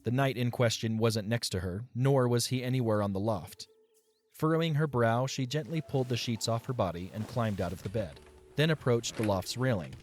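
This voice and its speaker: A man's voice: medium pitch, moderate pace, a little mellow. A man reading scripted story narration as the narrator of an audio drama.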